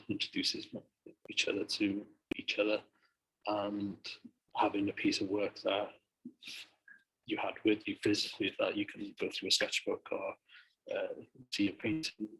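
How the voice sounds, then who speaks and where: Husky, slow, flat-voiced man. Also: on the low side, poor audio. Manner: slow, measured, searching for words. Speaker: artist in the group.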